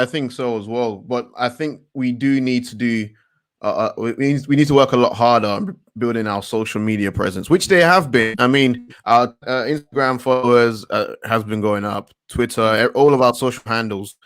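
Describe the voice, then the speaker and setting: A man hosting a casual investor livestream, talking haltingly, repeating words with many fillers. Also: medium pitch, fairly bright tone, no echo. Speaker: livestream host.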